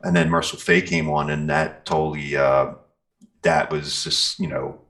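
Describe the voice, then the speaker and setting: A man's voice: extremely low pitch, big pitch swings, normal speed, gravelly, a bit bright. A middle-aged man being interviewed, telling stories in an informal, rambling, conversational way. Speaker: interview guest, metal singer and guitarist. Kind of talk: casual conversational storytelling.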